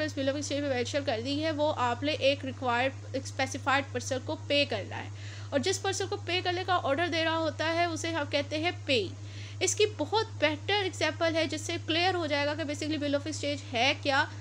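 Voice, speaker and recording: A woman's voice: sits high, a bit bright, slight background noise. A woman giving a law lecture, explaining step by step and often stopping to correct herself. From Pakistan.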